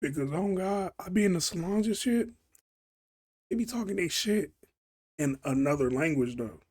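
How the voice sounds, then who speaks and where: A man's voice: sits high, expressive, moderate pace, a little mellow, breathy edge. A man hosting a reaction video, talking casually in slang, rambling and repeating himself. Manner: slangy, rambling, says "bro" constantly.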